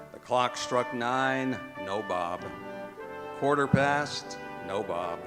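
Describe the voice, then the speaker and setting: A man narrating a scripted radio drama in a theatrical storytelling style. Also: medium pitch. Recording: reverberant stage hall, music behind.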